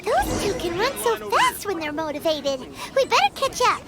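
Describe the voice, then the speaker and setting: A woman's voice: normal speed, heavily acted. A woman voicing a tiny, chirpy fairy-like game companion who speaks of herself in the third person. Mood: whiny. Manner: talks about herself in the third person, squeaky and excitable. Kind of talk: game voice acting. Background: game music.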